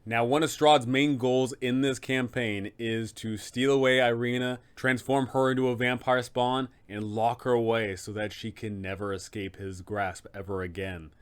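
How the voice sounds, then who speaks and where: A man's voice: mid-range voice, steady tone, normal speed, hardly any pauses, smooth. A man hosting an informal, explanatory guide for game masters, emphatic and opinionated in how he talks. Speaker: tabletop game advice host.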